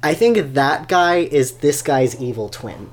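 A podcast co-host in casual, analytical chat, speaking in an animated, wry, conversational way. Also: medium pitch, a little slow.

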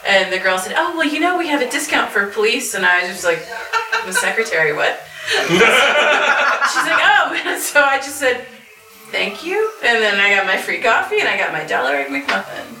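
A woman's voice: a little high, sing-song, normal speed, distant mic. Playful lady joking around on a panel, voice goes up n down, noisy echoey room. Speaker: convention panelist. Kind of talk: casual anecdote and banter. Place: live convention panel.

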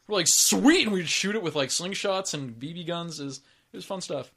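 A man's voice: a little high, fairly quick, a bit bright. A man co-hosting a casual chat, rambling loosely and swearing freely. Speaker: co-host.

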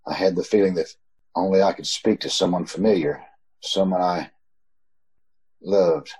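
Haunted male driver, played by an actor, radio suspense; low, husky voice, desperate and dramatic. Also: fairly even intonation, moderate pace, a little mellow. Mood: haunted, grave. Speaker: actor in an old-time radio troupe. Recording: amateur video call recording.

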